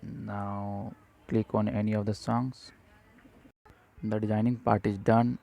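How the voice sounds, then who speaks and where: A man presents a step-by-step tutorial in a low, subdued, mellow voice with little melodic movement. He dictates in short, halting phrases. There is some background noise in the recording. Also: flat delivery, vocal fry. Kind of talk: step-by-step software tutorial narration.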